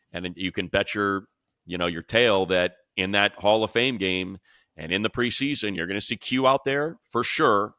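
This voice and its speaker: A man talks sports as a show commentator in a low voice with a bright, forceful edge. He leans on points emphatically and repeats himself for stress, in a dry, close sound. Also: no room sound. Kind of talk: sports talk discussion.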